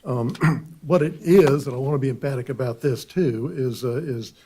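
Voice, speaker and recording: A man presenting for an applicant before a county board, hoarse from a cold. His voice is mellow and husky, and he hesitates often, doubling his words. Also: mid-range voice. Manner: halting, many repeated words and uhs. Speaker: attorney for applicant. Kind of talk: formal hearing presentation. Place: county board meeting room.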